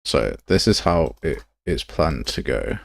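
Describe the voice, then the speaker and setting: A man's voice: very deep voice, sing-song, measured pace, creaky, no echo. A man hosting a game development livestream, talking casually and thinking aloud as he works in a rambling, informal, self-deprecating way. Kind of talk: casual live tutorial, thinking aloud while working.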